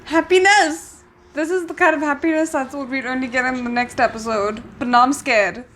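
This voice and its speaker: A woman giving casual reaction commentary as a host, thinking aloud with expressive exclamations. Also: high voice, moderate pace. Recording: noticeable background noise.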